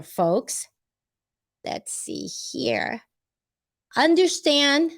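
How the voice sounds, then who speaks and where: A woman with a Filipino accent talking casually to her viewers, rambling and stopping often to search for the right words. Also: fairly high pitch. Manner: chatty, laughs easily. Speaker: vlogger giving advice. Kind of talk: casual talk to camera.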